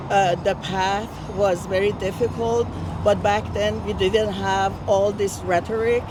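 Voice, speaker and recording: A man's voice: high-pitched, noisy background. A man, a nurse interviewed outdoors at a rally, speaking sincerely and steadily. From Iran. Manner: sincere, measured, with small grammar slips. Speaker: protester, registered nurse. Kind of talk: street interview. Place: outdoors on a city street.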